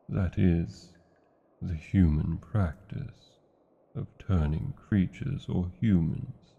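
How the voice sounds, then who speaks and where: A British man playing an old, tender male dragon in a fantasy ASMR roleplay, speaking slowly and formally in a husky, gravelly voice. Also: highs cut off, exaggerated voice. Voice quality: husky, gravelly, dark low voice. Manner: slow, deliberate, formal phrasing.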